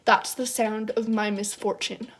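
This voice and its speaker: Slow, mock-sorrowful young woman. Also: medium pitch, fairly even intonation, fairly bright tone, breathy edge. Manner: slow, mock-tearful, overly earnest. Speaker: youtuber. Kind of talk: parody apology video.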